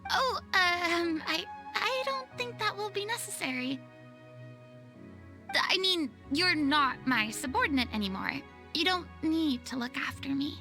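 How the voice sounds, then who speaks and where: A woman's voice: extremely high pitch, animated, unhurried, pushed and bright, faint music behind, full character voice. A woman playing a flustered, theatrical young former leader in a video game, polite and eager, with a stammering, dramatic delivery. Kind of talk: video game character acting.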